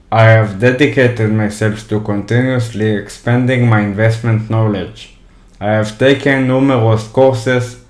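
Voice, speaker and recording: A man in his thirties from Israel with an Israeli accent, reading a scripted introduction to his course in a steady, measured way. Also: mid-range voice, reverberant. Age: 30s. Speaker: course instructor.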